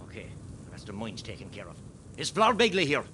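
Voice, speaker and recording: A man with an Irish accent playing a steady, rousing rebel column commander in a radio play. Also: a little fast. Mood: grave, commanding. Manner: commanding, swinging from quiet briefing to rallying. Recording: faint rumbling background noise.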